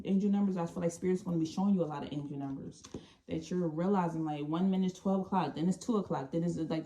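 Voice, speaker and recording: A woman giving an intuitive tarot reading, talking in a calm, meandering way and often restarting or repeating phrases. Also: fairly low pitch, slight background noise. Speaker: tarot reader.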